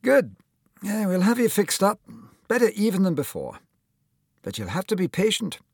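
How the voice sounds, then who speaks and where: Man voicing a kindly older Scottish surgeon, higher-pitched. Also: huge pitch swings, normal speed, vocal fry, husky, no room sound, studio quality, full character voice. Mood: encouraging, firm. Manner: avuncular, encouraging.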